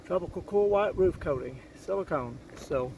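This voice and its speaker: A fairly high-voiced man talks through a roof repair in a folksy, rambling way, restarting his sentences as he works. His voice is rough from a cold. A faint hiss sits behind him outdoors. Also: animated, moderate pace, darkish tone. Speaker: DIY vlogger. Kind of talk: casual narration while working.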